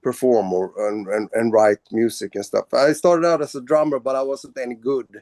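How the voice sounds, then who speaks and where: A man's voice: medium pitch, steady tone, normal speed, darkish tone, dry recording, studio quality. A man from Sweden, a band's singer, answering interview questions casually, with many fillers and restarts. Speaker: band vocalist.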